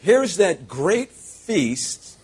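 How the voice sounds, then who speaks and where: Husky preacher in short bursts. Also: male voice, high-pitched, big pitch swings, darkish tone. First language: English. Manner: preaches in short phrases, pausing for translation. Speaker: guest preacher. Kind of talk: sermon with live interpreter.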